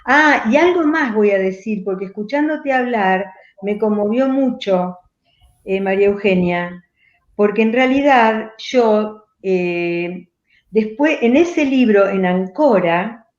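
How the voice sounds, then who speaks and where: A woman from Argentina whose was an Italian dialect, speaking thoughtfully as a writer being interviewed, with frequent pauses. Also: mid-range voice, expressive. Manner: thoughtful, pausing, restarts phrases. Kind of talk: reflective conversation. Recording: roomy, dull lo-fi video call audio.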